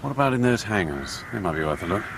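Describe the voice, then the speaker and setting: An impatient English commando officer in a wartime radio drama. His delivery is clipped and sardonic, swinging freely in pitch over clearly noisy outdoor ambience. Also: sing-song, fast.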